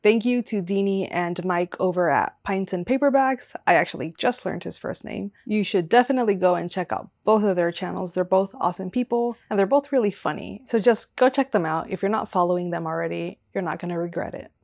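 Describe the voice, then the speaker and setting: A woman's voice: on the low side, fairly quick, creaky, hardly any pauses, darkish tone, dry recording. A young woman from Southern California chatting casually on camera about her reading channel, rambling and going off on tangents. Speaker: booktuber. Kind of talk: casual vlog talk.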